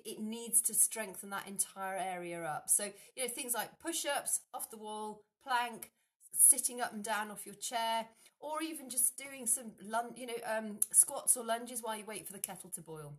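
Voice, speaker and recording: Woman, middle-aged, fitness coach, casual to-camera chat, lively hand gestures, fillers and restarts, phone at arm's length, home room. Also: fairly high pitch. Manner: animated, gestures a lot with hands, many fillers and restarts. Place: dim room at home, indoors.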